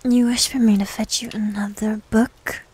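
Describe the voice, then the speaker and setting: A woman playing a needy, teasing succubus demon girl in an ASMR roleplay, speaking in a soft, breathy whisper with a coy manner. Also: fairly high pitch, level tone, normal speed. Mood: reluctant, sighing.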